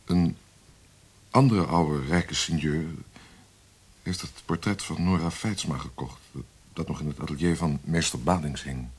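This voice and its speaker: A man's voice: extremely low pitch, a little slow, breathy edge, exaggerated voice. A man acting an impassioned, guilt-ridden young poet in a radio drama, speaking theatrically. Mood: hesitant. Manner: theatrical, talking to himself.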